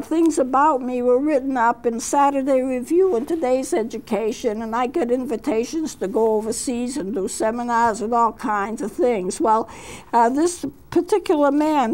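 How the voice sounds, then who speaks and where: A woman in her eighties with a high voice talks about growing old. Her pace is unhurried, with little fillers and restarts along the way. She sounds like a seasoned counselor sharing what she has learned. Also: low-quality recording. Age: 80s. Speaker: counselor and show host. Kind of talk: reflective talk with read-aloud poetry.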